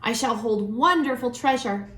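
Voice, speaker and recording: A woman's voice: on the high side, moderate pace, a bit bright, lightly acted. A woman voicing a hopeful young male tree in a storybook, with earnest, dreamy declarations. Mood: proud, expectant. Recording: roomy, echoing room sound.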